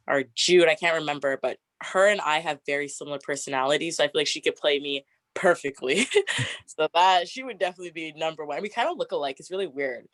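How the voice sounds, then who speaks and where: A young woman in her twenties with a deep, raspy voice, chatting casually as an interview guest and laughing often. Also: fairly bright tone, no room sound. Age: 20s. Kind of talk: casual get-to-know-me interview.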